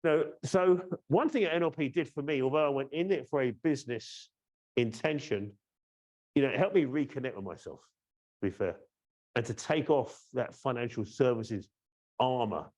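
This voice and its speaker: A man's voice: mid-range voice, lively intonation, normal speed, darkish tone. A man in his fifties from the UK hosting a training webinar over a video call, chatty and teasing, often checking in with "yeah?". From United Kingdom. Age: 50s. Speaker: course trainer and webinar host. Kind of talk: casual webinar presentation.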